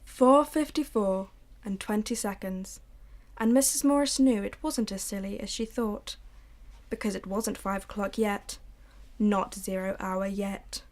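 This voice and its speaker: A young woman narrating a radio drama in a measured, brooding way. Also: mid-range voice, fairly even intonation, darkish tone.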